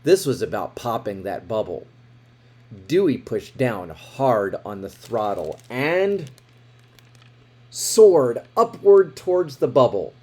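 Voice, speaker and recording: A man reads a picture book aloud with lots of expression and big swings in pitch. His voice is soft-edged and a bit mellow, with some huskiness, and he takes his time over the story, leaning on words for drama. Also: slow pace, slightly rough. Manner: expressive, dramatic emphasis with asides about the pictures. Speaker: storybook reader. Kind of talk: animated read-aloud of a children's picture book.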